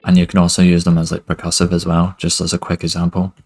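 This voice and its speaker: A young man giving a relaxed music production tutorial at his desk, talking loosely with lots of fillers. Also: low-pitched, fast. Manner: relaxed, many fillers like "kind of" and "basically". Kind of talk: casual screen-recorded tutorial.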